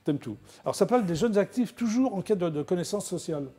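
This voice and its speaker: A woman hosting a TV talk show, asking brisk chatty questions. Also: fairly low pitch, expressive, mellow, breathy edge. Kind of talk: casual TV interview.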